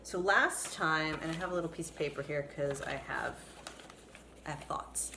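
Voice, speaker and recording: A woman's voice: low voice, moderate pace, pushed and bright, a little hiss or hum. A woman who grew up bilingual in English and Portuguese, explaining things casually and unscripted to camera in a chatty, digressive way. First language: English and Portuguese from early childhood. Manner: chatty, digressive, chuckles while talking. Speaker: vlogger.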